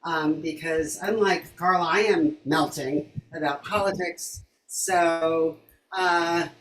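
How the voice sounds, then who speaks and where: A hoarse woman as a musical guest, chatting in an apologetic, chatty way before singing comic political songs on a video call. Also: low voice, steady tone, slow, distant mic, a little hiss or hum. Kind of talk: comic political song and chat. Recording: roomy home mic with music.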